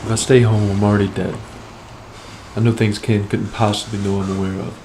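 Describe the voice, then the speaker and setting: A low, gravelly male voice with a flat delivery, an actor as a desperate drug dealer on the run. He narrates his inner thoughts like a brooding crime drama, deliberate and streetwise, over clear background noise. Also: close to an everyday voice. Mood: tense, calculating.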